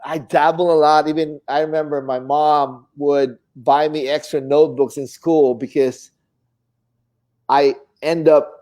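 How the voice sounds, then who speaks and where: A man's voice: a little high, little pitch movement, unhurried, darkish tone. A middle-aged man from the Philippines with a Filipino accent, chatting casually in an easygoing, rambling storytelling way as an interview guest. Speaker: guest, fine artist painter. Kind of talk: casual interview conversation, reminiscing.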